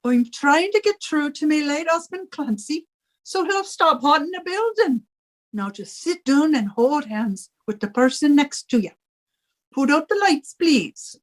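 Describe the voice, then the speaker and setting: Older irish landlady, bossy and wailing, really high voice, old radio comedy, swoops all over. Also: lively intonation, measured pace, poor audio. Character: theatrical, combative older Irish widowed landlady. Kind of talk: 1950s radio comedy acting.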